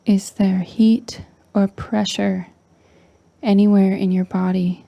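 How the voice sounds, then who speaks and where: A woman leading a guided meditation in a soft, breathy, near-whispering voice, calm and gentle with long pauses. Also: medium pitch, no room sound. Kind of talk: slow guided meditation narration.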